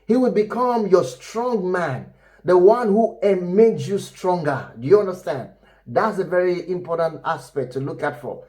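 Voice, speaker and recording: A man with a loud, full voice giving relationship advice to camera. He talks emphatically and repeats his key points, often checking in with "you know" and "all right?". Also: high voice. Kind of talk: unscripted advice talk to camera.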